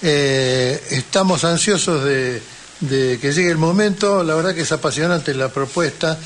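Male radio host, high voice, conversational, slight ambient noise. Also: measured pace. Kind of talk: conversational interviewing. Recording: clear background ambient noise.

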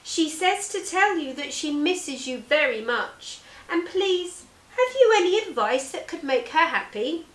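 Woman playing a high, emphatic, tender-hearted lady merchant. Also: big pitch swings, unhurried, hardly any pauses, a bit bright, exaggerated voice. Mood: kindly asking. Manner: earnest, emphatic, emotional. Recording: roomy indoor echo.

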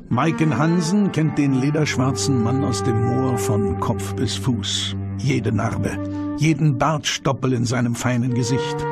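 A man reading scripted documentary narration with measured, dramatic phrasing and rhetorical questions. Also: on the high side. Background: background music.

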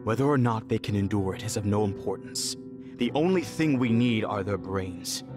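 An actor voicing a stern, fanatical elder brother in a video game, commanding and condescending in dramatic delivery. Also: male voice, on the low side, normal speed, close to an everyday voice. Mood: grim, lecturing. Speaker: villain. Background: dramatic background music.

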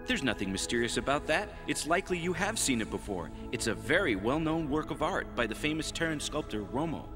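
A man voicing a calm, scholarly cadet who explains things precisely in an animated sci-fi dub. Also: on the high side, a little fast. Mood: matter-of-fact. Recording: reverberant dub track with music underneath.

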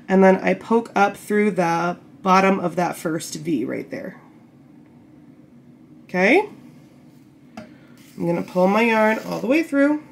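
A woman getting over a cold, a bit croaky, patiently explaining knitting steps while working with her hands. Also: medium pitch. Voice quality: slightly gravelly. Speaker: knitting tutorial host. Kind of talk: casual step-by-step instruction. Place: indoor table, camera over hands.

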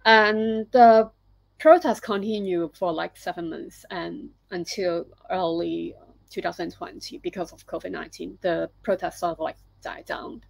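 Hong Kong woman, halting webinar talk. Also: on the low side, steady tone, vocal fry, dry recording, low-quality recording. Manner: halting, many fillers and restarts. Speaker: photographer presenting her project. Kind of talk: webinar presentation. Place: living room at home. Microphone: laptop video call.

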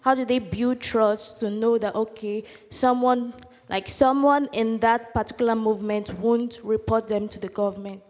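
A young woman from Nigeria, a student, asking a polite, measured question at a forum. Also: on the high side, fairly even intonation, darkish tone. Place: large conference hall. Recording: long hall reverb.

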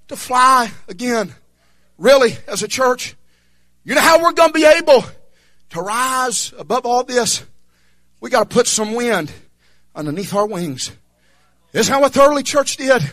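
A young man preaching a fervent revival sermon, shouting and half-singing his lines with calls of amen and hallelujah. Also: extremely high pitch, creaky. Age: young adult.

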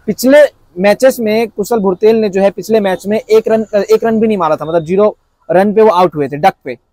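A young man from India with a high-pitched voice, talking to camera in an animated, repetitive way while gesturing with his hands. The sound is dry and close, picked up by a lapel mic. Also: dry recording. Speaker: cricket reaction vlogger. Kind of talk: excited casual commentary to camera. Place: indoors in front of a backdrop screen.

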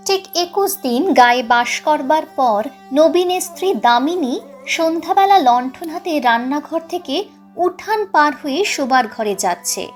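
A woman's voice: sits high, animated, a little slow. A woman reading a scripted literary story as an audiobook narrator, with instrumental music behind her. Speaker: channel host and story narrator.

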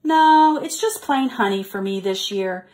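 A woman's voice: medium pitch, expressive, unhurried, a little mellow, lightly acted. A woman voicing a modest male bear from a children's storybook, speaking plainly and emphatically. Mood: resigned.

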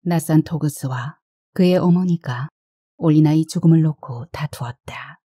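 Female narrator for literary fiction, low and husky, recorded dry and close. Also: normal speed, mellow, no echo. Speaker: audiobook narrator. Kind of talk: scripted literary story narration.

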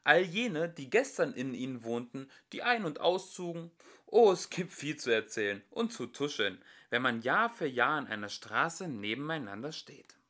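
A young man reads a storybook aloud for children. His pitch moves widely as he tells the tale theatrically, in a mid-range voice, speaking straight to the listener. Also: sing-song, moderate pace, fairly bright tone. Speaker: amateur audiobook reader. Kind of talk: expressive children's story narration.

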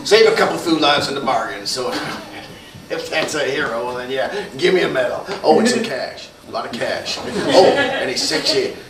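A man's voice: medium pitch, very animated, normal speed, a crowd in the background. A man playing a folksy, rambling small-town local in a live comedy sketch, hesitant and aw-shucks. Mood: aw-shucks, boastful. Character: folksy small-town male neighbor and bashful sheriff. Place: small theatre stage before an audience. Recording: echoey, distant stage recording with audience.

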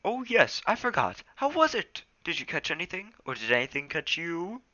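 A man voicing an excitable, easygoing young male house cat in a storybook, with an eager, shrill and dramatic manner. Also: normal speed, dry recording, dull audio. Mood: excited, shocked.

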